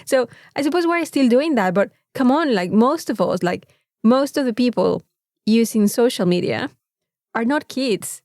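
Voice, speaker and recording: A woman's voice: on the high side, animated, normal speed, darkish tone, dry recording, clean studio sound. A woman with a Mexican accent, a native Spanish speaker, co-hosting a casual podcast in a candid, exasperated, emphatic way. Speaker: podcast co-host.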